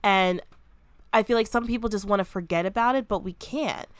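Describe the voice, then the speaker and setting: A woman's voice: mid-range voice, a little fast, smooth, dry recording. Chatty young woman co-hosting a podcast. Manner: animated, lots of "like", supportive. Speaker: podcast co-host. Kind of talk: casual conversational podcast.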